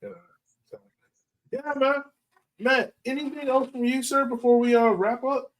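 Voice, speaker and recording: A man's voice: extremely high pitch, steady tone, a little slow. A man hosting a sports podcast in casual, chatty, slangy talk. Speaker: podcast host. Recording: voice slightly far from mic.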